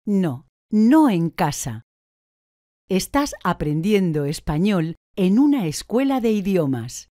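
Slow, mellow woman answering drills. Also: fairly low pitch, sing-song, no room sound, studio quality. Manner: slow, deliberate, clearly articulated answers. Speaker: language course speaker.

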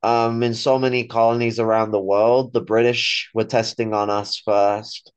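A man's voice: lowish voice, flat delivery, moderate pace, clear, resonant tone. A young adult man in casual political discussion, rambling and swearing freely. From Ireland. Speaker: political forum guest. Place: small room at home, video call. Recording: dull lo-fi call audio.

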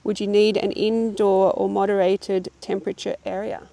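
A woman's voice: mid-range voice, level tone, normal speed, vocal fry, dark tone. A woman off camera reading out viewer questions in a brief, matter-of-fact way, heard from a distance. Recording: off-mic, from a distance.

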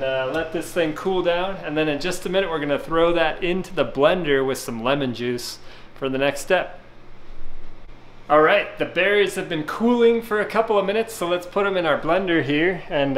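A man's voice: on the high side, low-quality recording. A middle-aged man casually talking through a cooking demo in his home kitchen, explaining each step as he works. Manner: relaxed, talks through each step while working. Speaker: home cook vlogger. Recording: kitchen clatter and dish noise behind.

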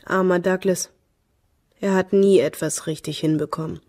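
An actress playing an emotionally detached woman with a fearful streak in a German radio crime drama, with a husky voice. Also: lowish voice, fairly even intonation, moderate pace, darkish tone, lightly acted. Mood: cold, detached.

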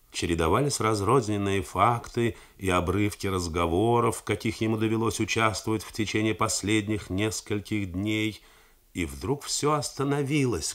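Low-voiced guy reading a novel aloud on old radio, slow and measured. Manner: measured, even theatrical reading. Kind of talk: scripted literary narration. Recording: 1980s Soviet radio broadcast.

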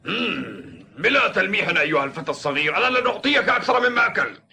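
An old mountain grandfather for a cartoon. He is gruff and commanding, yet caring. The voice is fairly high for a man, animated and piercingly sharp. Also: racing, a little hiss or hum, full character voice. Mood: teasing, gruff. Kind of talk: Arabic-dubbed cartoon acting.

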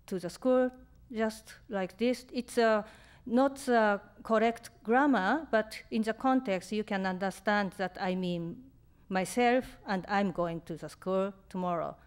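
A woman in her fifties from Japan with a Japanese accent, answering audience questions in English at a reading, halting and searching for words, on a stand mic. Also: mid-range voice, smooth. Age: 50s. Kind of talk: live Q&A at a reading. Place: lecture room on stage. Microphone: stand mic in front.

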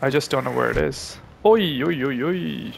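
A young man from Pakistan, hosting a gaming channel, who chats to viewers and thinks aloud as he plays, with excitable reactions. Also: medium pitch, moderate pace, vocal fry, a little hiss or hum. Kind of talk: live gameplay commentary.

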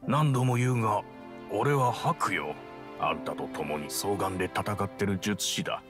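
An actor playing a loyal, weary human warrior in a game cutscene, talking in a familiar, rough and emotional manner. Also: male voice, lowish voice, expressive, unhurried. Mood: wry, resigned. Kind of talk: dramatic game cutscene acting. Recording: music under the voice. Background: background music.

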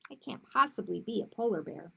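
A woman voicing a gentle, unsure male teddy bear in a read-aloud storybook, earnest and fretful. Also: medium pitch, animated, brisk, vocal fry, slightly rough, heavily acted, low-quality recording. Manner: earnest, fretful, simple sentences.

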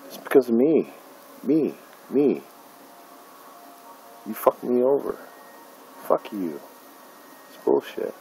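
A man's voice: mid-range voice, big pitch swings, slow pace, vocal fry, long pauses, dark tone, a little hiss or hum, rough recording. A middle-aged man, an independent filmmaker, filming himself in a dim room at night and ranting bitterly, with profanity and lots of fillers. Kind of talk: angry personal vlog rant. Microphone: phone camera held close.

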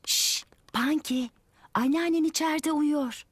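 A woman voicing a calm, kindly mother with a soothing manner in a children's cartoon dub. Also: sits high, slow pace. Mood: calm, hushing. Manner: soothing, reassuring.

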